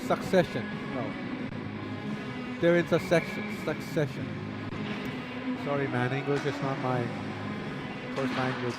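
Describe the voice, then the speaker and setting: A man's voice: mid-range voice, unhurried, pauses often, loud music behind the voice, poor audio. A man commentating live ice hockey, a native Chinese speaker, chatty and self-deprecating, laughing between play-by-play calls.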